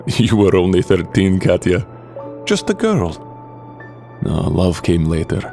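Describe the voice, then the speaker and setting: Man acting a low-voiced, gentle giant of a husband. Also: very animated, gravelly, faint music behind, heavily acted. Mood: tender. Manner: earnest, formal, deliberate phrasing. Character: big, gentle, doubting middle-aged Russian husband and former hero. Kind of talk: audio drama acting.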